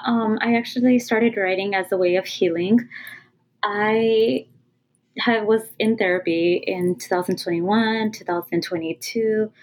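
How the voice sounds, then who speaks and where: A woman's voice: medium pitch, a little mellow, low-quality recording. A woman from California, a guest author who talks openly in casual interview style, with many ums and restarts as she searches for words. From California, USA.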